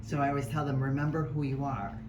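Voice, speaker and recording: A woman with an unusually low, deep voice introduces herself from the audience, earnest and plain-spoken. Noise hums behind her. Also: fairly even intonation, fast talker. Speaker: audience member. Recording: background noise.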